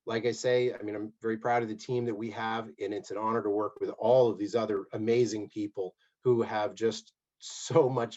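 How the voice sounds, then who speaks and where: A man's voice: on the low side, a little mellow. A man giving a friendly, upbeat presentation on a conference call, with frequent fillers. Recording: dull, muffled call audio.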